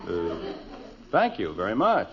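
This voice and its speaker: Animated, grumbling sitcom dad. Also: male voice, sits low, slow pace, heavily acted. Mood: easygoing, dry. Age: middle-aged. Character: exasperated middle-aged suburban husband and father. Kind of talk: 1940s-50s radio sitcom acting. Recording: muffled, hissy old radio recording.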